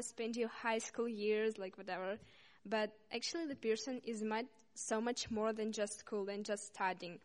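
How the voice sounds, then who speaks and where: Young woman from Russia, student speaker; halting, pausing often, slightly high voice, reverberant hall. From Russia, Saint Petersburg. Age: young adult. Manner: halting, many uhs, apologizes and restarts. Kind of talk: informal speech to a congregation.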